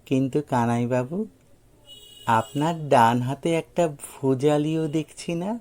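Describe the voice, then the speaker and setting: A man's voice: medium pitch, unhurried, a little mellow, natural voice. A man voicing a cheeky young man who teases with mock politeness and feigned innocence. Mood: teasing surprise. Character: cheeky, precocious young man, mockingly polite and falsely naive.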